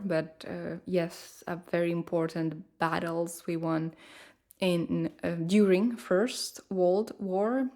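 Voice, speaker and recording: A woman from Latvia with a distinct Latvian accent, chatting casually and fairly softly in her full voice, often pausing with "um". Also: fairly low pitch. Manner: relaxed, hesitant with many ums, talking quite softly. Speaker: ASMR creator.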